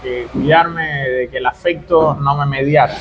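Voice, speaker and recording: A man's voice: fairly high pitch, steady tone, a little slow. A man with a Cuban accent giving discursive, detailed, explanatory answers as an author being interviewed. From Cuba.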